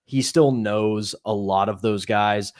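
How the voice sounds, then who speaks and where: A man's voice: lowish voice, takes it slowly, a little mellow, dry recording, studio quality. A man co-hosting a sports talk podcast, giving his opinions in a conversational way. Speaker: podcast co-host.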